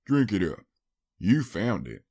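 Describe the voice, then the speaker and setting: A man's voice: fairly low pitch, very animated, slow pace, warm tone, breathy edge, voice pushed up, heavily acted. Man playing a folksy, fatherly older pony stallion. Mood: kindly. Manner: folksy, easygoing, affectionate. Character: good-natured, boastful older stallion, fatherly to a kid.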